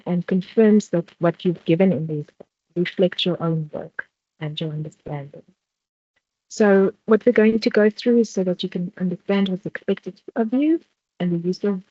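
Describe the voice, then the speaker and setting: A woman's voice: mid-range voice, no echo. A South African woman from the Free State leading a university exam-preparation workshop, explaining step by step and often restarting phrases with "um". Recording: dull, dry lo-fi sound.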